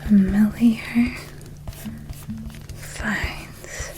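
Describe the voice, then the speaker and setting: A woman voicing a gentle, doting witch in a soft, breathy ASMR roleplay whisper, tender and affectionate. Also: mid-range voice, fairly even intonation, over music. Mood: soothing. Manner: slow, tender, affectionate, lingering on words. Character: gentle, doting older witch woman helping with school shopping.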